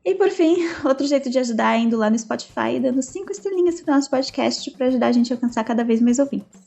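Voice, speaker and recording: A woman's voice: high voice, animated, fast talker, vocal fry, darkish tone. A young woman hosting a podcast, chatty and upbeat as she reads her intro and asks questions. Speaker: podcast host. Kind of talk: scripted intro and interview questions.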